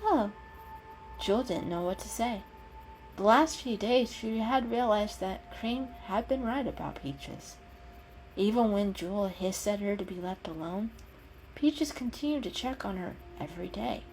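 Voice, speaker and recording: A woman's voice: medium pitch, expressive, normal speed, a little mellow, low-quality recording. A woman giving a dramatic reading of an animal story as its narrator. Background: background music.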